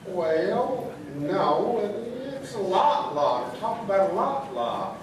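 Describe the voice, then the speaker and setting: Recorded from far off in an echoing, noisy room, a man plays a put-upon small-town fellow. His high-pitched voice is folksy and halting, the straight man in an old-fashioned radio comedy act. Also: sing-song, full character voice. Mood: exasperated. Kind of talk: comic radio double act before an audience. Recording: distant, very echoey, noisy stage recording.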